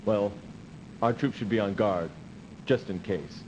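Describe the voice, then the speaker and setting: An actor playing a dutiful, cautious officer who gives formal, measured briefings in a video game cut-scene. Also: male voice, fairly low pitch, natural voice. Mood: formal, serious. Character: dutiful, cautious male officer briefing his space commander. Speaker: military adviser in a video game. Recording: dull, noisy old CD game audio.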